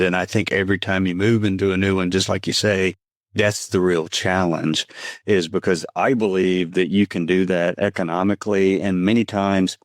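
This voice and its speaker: A very deep-voiced man from Texas, in his fifties, with a faint Texan accent. He talks in an earnest, measured, explanatory way. The sound is dry and close, with no room around it. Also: no room sound. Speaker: company founder guest. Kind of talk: podcast interview answers.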